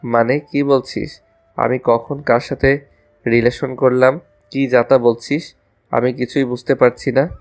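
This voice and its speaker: A man's voice: mid-range voice, flat delivery, measured pace, creaky, faint music behind. A man playing a lovestruck, casual young college student in a romantic audio story. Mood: protesting.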